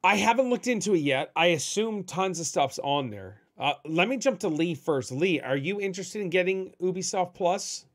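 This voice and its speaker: Lively high-voiced male host. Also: moderate pace, few pauses. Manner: upbeat, lots of short agreements. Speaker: podcast host. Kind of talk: casual chat.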